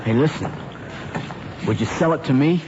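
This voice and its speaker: A man's voice: a little high, moderate pace, lightly acted. An actor playing an eager, restless American teenage boy with an insistent, slangy way of talking in a family drama. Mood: pleading, eager. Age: young adult. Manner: insistent, excitable. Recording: muffled, noisy old drama recording.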